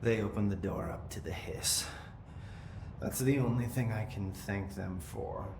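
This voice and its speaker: A detached, eerily calm man speaks in slow, flat, measured phrases. His voice rings in an echoey room with steady noise behind it. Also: lowish voice, vocal fry. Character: eerie, detached man, brother held in captivity, possessed.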